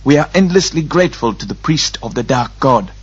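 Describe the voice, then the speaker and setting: Old male sorcerer, played by a man, guttural invented accent, humble pilgrim act, formal, husky, fantasy audiobook. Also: mid-range voice, lively intonation, a little fast, dull audio. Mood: humbly deferential. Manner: formal, deferential.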